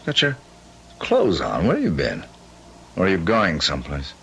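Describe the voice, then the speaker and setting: A self-assured, eccentric husband from an old radio play. His very low voice swoops expressively as he speaks in halting, intense, condescending phrases. The vintage recording is slightly noisy. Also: wildly swooping pitch, creaky, fairly bright tone, full character voice. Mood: groggy. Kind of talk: old-time radio drama acting.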